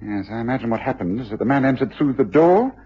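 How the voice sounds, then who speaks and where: An observant detective in a radio drama, absorbed and probing, musing as he goes. The voice is markedly husky and rises and falls freely. The recording is dulled, like an old broadcast. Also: mid-range voice, expressive, quick pace, muffled recording. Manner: musing hesitations, thinking aloud while examining.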